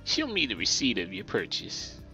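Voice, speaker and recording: A man's voice: fairly low pitch, huge pitch swings, normal speed, gravelly, pushed and bright, highs cut off, faint music behind, heavily acted. A man voicing a tiny, furious female officer who speaks stiffly and formally with a scornful bark.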